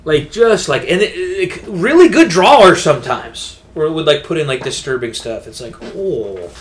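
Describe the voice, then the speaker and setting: A man's voice: fairly high pitch, animated, normal speed, a little hiss or hum. A man chatting informally and in slang as co-host of a casual gaming podcast.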